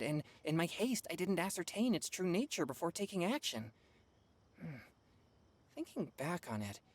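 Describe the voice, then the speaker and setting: A woman's voice: fairly low pitch, a little mellow, breathy edge. A woman playing an earnest, serious teenage boy exorcist in an anime game, speaking in a formal, self-critical way. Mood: regretful.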